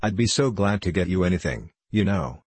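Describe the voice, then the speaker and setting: A man voicing a polite, genteel young lady who speaks gently and courteously. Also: deep voice, lively intonation, brisk, slightly rough, dry recording. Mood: solicitous.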